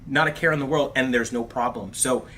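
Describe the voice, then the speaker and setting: A man hosting a casual livestream, talking in an animated, chatty way with plenty of fillers and calling his viewers bro and dude. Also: mid-range voice, a little fast, slight background noise. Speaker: host of a TV review livestream. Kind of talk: casual livestream chat reading viewer comments.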